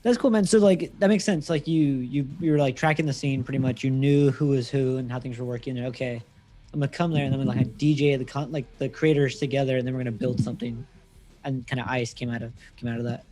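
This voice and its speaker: A man hosting a casual interview, relaxed and loosely phrased, often giving short affirmations. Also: mid-range voice, fast. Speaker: podcast host, interviewer.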